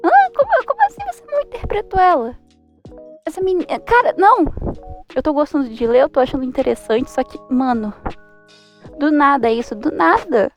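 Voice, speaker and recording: Young woman, Brazilian accent, high-pitched, informal expressive commentary, faint background music. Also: fairly quick. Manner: informal, expressive, exclaiming in mock disbelief. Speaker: manhwa reviewer. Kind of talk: casual review commentary.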